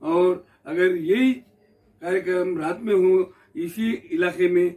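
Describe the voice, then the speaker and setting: High-pitched Indian man, distant from mic. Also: takes it slowly, a little mellow. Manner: methodical, repeats and rephrases points. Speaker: speaker explaining court rules to the public. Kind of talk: informal explanatory talk.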